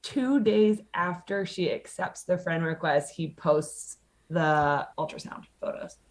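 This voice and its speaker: A woman's voice: lowish voice, slow. A woman telling a personal story casually as a podcast guest, candid, sardonic and sweary, acting out the dialogue she quotes.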